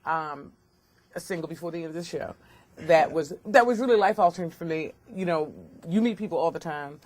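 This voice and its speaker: A woman's voice: deep voice, animated, brisk, a little mellow. A woman hosting a talk show, chatting effusively with a guest and repeating phrases for emphasis. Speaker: radio and TV talk show host. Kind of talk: chatty celebrity interview.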